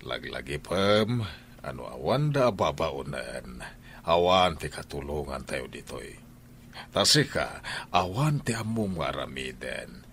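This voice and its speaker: A man's voice: extremely low pitch, very animated, unhurried, vocal fry, fairly bright tone, slightly rough, heavily acted. An actor playing a stern husband who lectures his wife and household. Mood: scolding. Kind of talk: radio drama acting.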